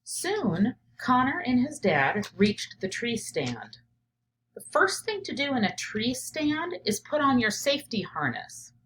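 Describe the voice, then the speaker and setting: A woman's voice: a little high, sing-song, slow, rough recording. Middle-aged woman reading and thinking aloud. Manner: reflective, thinking out loud, often drops to a murmur while noting ideas. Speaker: teacher, professor. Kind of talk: children's story read-aloud with spoken think-aloud commentary.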